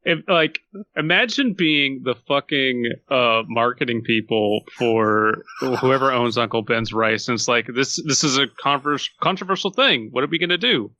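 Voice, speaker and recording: A man's voice: medium pitch, moderate pace, bright, forceful tone, lo-fi sound. A man co-hosting a podcast in casual, conversational discussion. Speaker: podcast host.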